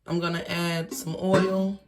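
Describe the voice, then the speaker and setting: A hoarse woman who has lost her voice, casually and tiredly talking through a recipe in her home kitchen. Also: flat delivery, measured pace. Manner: tired, low-key, chatty with fillers. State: hoarse, lost voice from sinus infection. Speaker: home cook vlogger. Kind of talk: casual cooking demonstration. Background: sizzling and frying in a pan.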